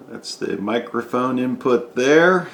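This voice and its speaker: A man's voice: mid-range voice, animated, takes it slowly, fairly bright tone, a little hiss or hum. A man giving an unscripted, methodical step-by-step narration of a hands-on repair demonstration. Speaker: DIY repair presenter. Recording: echoey room.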